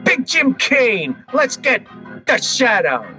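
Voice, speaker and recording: An actor playing a gossiping, vengeful convict in an old-time radio drama, husky and conspiratorial, using slang. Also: high-pitched, very animated, slow, pushed and bright, faint music behind, heavily acted.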